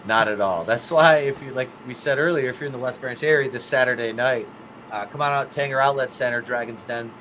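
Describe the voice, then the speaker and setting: A man's voice: medium pitch, fairly quick, bright, forceful tone, slight background noise. A man, co-hosting a wrestling podcast, telling rambling, profane stories about ring announcing. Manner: swears freely, rambling storyteller. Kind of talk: casual profane chat.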